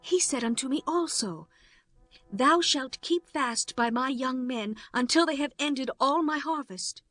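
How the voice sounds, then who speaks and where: A woman's voice: high-pitched, unhurried, fairly bright tone, no echo, faint music behind, pitched above the performer's own voice. A woman voicing a humble, grateful young foreign widow who speaks meekly and formally in a dramatized scripture audiobook. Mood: earnest.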